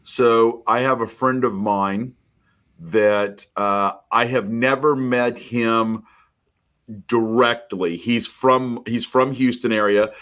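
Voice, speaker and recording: A middle-aged man co-hosting a mortgage podcast, talking in an energetic, emphatic storytelling way. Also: mid-range voice, slow. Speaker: podcast co-host, mortgage professional. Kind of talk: casual chat.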